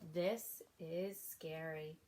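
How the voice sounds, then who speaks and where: A woman's voice: deep voice, steady tone, very slow, dark tone, pitched below the performer's own voice. A woman reading a storybook aloud, voicing a polite, innocent little girl.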